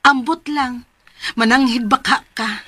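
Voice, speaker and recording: High-voiced, teasing young Filipina. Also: female voice, a little slow, pushed and bright, no room sound. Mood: teasing, coy. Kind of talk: Filipino radio drama acting.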